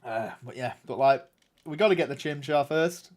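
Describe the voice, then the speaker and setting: A man's voice: mid-range voice, normal speed, a little mellow, soft background music. A young man with a nasal voice, chatting casually with viewers on a game stream in a rambling way. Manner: rambling and chatty, many ums and restarts. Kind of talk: casual livestream chat answering viewers.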